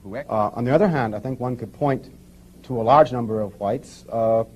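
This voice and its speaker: A man speaking in a deliberate, halting way, with a husky voice. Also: medium pitch, darkish tone. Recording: dull lo-fi archive audio.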